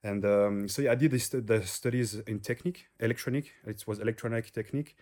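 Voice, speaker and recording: A man's voice: lowish voice, fast talker, a little mellow. A young man from the French-speaking part of Switzerland with a French accent, a guest in casual interview talk who sometimes halts to search for English words. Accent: Swiss French.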